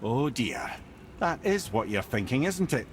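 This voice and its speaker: An actor with a Scottish accent playing a wry, talkative old storyteller and advisor in a video game. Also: male voice, medium pitch, very animated, unhurried. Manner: wry, chatty, colourful turns of phrase. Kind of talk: video game character acting. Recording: clear background noise, game ambience.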